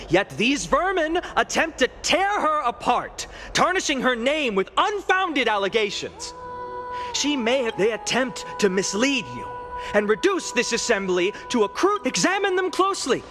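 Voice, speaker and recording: A man's voice: very high voice, pushed and bright, full character voice. An actor playing a fervent young male demigod giving an impassioned, rousing speech in a game cutscene. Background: game music.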